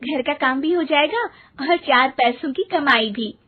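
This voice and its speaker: Woman playing high, bubbly young daughter-in-law. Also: a little slow, a little hiss or hum. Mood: excited. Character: young, eager, scheming daughter-in-law, easily flustered. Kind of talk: acted moral story dialogue. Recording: muffled, phone-like narrow band.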